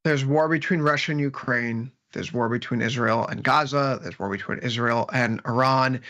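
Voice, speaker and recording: A man's voice: medium pitch, moderate pace, pushed and bright. A man hosting a casual markets talk show, chatting loosely with guests and wrapping up the segment. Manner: joking, wraps up the show. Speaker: show host. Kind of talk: casual panel chat on markets.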